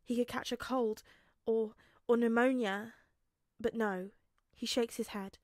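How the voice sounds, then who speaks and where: A woman reading fiction aloud as narrator, expressive and dramatic, with emphatic pauses. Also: on the high side, dry recording, clean studio sound. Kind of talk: expressive scripted story narration.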